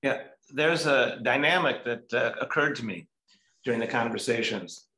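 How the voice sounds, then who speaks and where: An older man giving thoughtful film analysis on a video call from home, deliberately searching for words. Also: mid-range voice, bright, forceful tone. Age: older, 60s or 70s. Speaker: discussion participant. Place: room at home, indoors. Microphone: video call, computer at some distance. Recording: roomy, echoey video-call audio.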